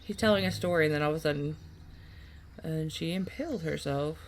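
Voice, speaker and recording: A woman's voice: sits low, brisk, a little hiss or hum. A woman giving casual let's-play commentary, thinking aloud and reacting as she plays. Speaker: gameplay host.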